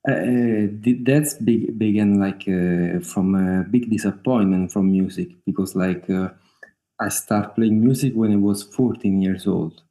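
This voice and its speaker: A man from Italy with a clear Italian accent speaks on a video call in a low, mellow voice. His talk is halting, thick with fillers, and broken by small laughs. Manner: hesitant, many fillers, laughs often. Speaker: guest singer-songwriter. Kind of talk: casual interview over video call.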